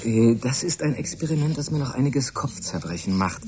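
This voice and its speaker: An actor playing a shy, lovesick young research assistant, earnest and stammering, in a German radio drama. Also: male voice, medium pitch, lively intonation, brisk, darkish tone, slight background noise, close to an everyday voice. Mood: puzzled. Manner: hesitant, stammering.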